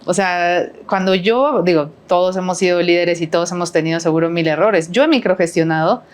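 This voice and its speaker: Chatty Mexican woman, lowish voice. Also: a little fast. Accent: Mexican Spanish. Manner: rambling, many fillers and tag questions. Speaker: podcast guest, HR professional. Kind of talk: casual conversational interview.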